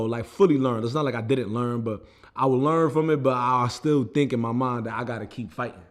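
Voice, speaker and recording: Casual young man telling his story. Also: medium pitch. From United States. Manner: loose, conversational, full of fillers like "you know what I mean". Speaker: vlogger.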